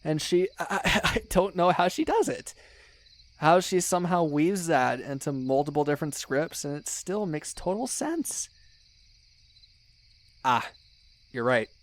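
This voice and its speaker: A man's voice: fairly high pitch, animated, a little slow, long pauses. A young man playing a teasing, loyal best friend in a one-sided casual roleplay, chatty and slangy with little hesitations. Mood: admiring, nostalgic.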